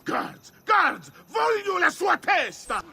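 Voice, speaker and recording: An actor playing a furious, loud outraged father in a video game, with an Italian accent. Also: very high-pitched, sing-song, slow, gravelly, heavily acted. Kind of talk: video game cutscene acting.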